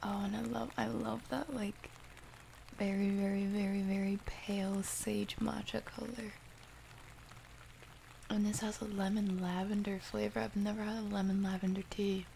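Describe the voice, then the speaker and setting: A soft-spoken woman hosting an ASMR livestream, chatting casually and gently, often dropping toward a whisper. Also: medium pitch, little pitch movement, moderate pace, vocal fry, a little hiss or hum. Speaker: livestream host. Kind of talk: ASMR livestream, casual chat reading and answering viewer comments.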